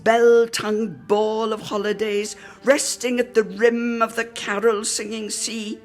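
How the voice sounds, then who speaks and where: A woman giving a theatrical, lyrical reading of a literary story, savouring each phrase. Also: fairly high pitch. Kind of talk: theatrical scripted story narration.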